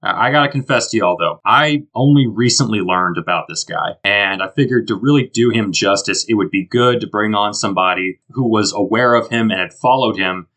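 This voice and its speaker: A man's voice: lowish voice, a little fast, few pauses, fairly bright tone, poor audio. A man hosting a podcast in a casual, enthusiastic and informal way. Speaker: podcast host.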